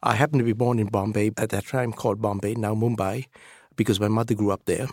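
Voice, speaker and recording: A middle-aged man from Sri Lanka, a professor answering interview questions in a relaxed, hesitant way with many fillers. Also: fast talker, no room sound, studio quality. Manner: hesitant, many fillers, lively pitch jumps. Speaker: professor, guest.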